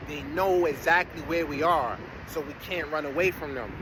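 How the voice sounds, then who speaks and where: A man's voice: a little high, moderate pace. A man about to turn forty, talking casually to his followers in a rambling, streetwise way with preachy asides. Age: 30s. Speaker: vlogger. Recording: outdoor street noise, vehicles passing.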